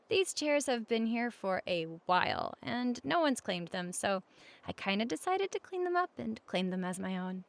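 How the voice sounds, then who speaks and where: Friendly, reassuring female roommate, played by a woman for comfort audio: casual, gentle, frequent vocal fry, very dry close sound. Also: on the high side, sing-song, unhurried, no echo, full character voice. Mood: relaxed, chatty. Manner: casual, gentle, reassuring, speaking at regular volume. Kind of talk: conversational comfort audio roleplay.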